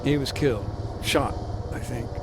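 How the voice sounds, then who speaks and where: A world-weary male aid worker, voiced by a man for a war game cutscene. He speaks in a middle-pitched, reflective, wry way. A steady hiss of background noise sits under the voice. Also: normal speed, lightly acted. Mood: somber. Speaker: aid worker being interviewed.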